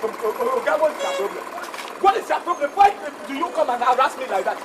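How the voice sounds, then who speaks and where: Actor as a quarrelsome Nigerian okada driver, lively pitch, recorded out on a noisy street. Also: male voice, over a noisy crowd. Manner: argumentative, rapid. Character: loud, quarrelsome motorbike taxi driver. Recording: outdoors on a busy street.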